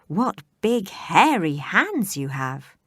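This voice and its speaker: A woman's voice: on the low side, big pitch swings, slow pace, husky, no room sound, exaggerated voice. Woman doing sweet storybook little girl, sing-songy, dry close sound. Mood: wondering. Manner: bright, eager, sing-song.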